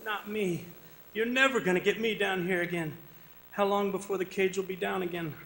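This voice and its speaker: A man's voice: high voice, steady tone, moderate pace, gravelly, fairly bright tone, low-quality recording. An actor playing a frightened, reluctant young man in a radio drama, speaking in a plaintive, nervous way. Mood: nervous, complaining. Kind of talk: old-time radio drama acting.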